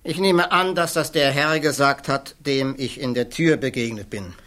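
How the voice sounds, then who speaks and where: An actor playing an irreverent, blunt physics professor giving testimony in a radio play, wry and outspoken. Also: male voice, medium pitch, moderate pace, a bit bright, close to an everyday voice. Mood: sardonic. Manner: wry, outspoken, swears casually.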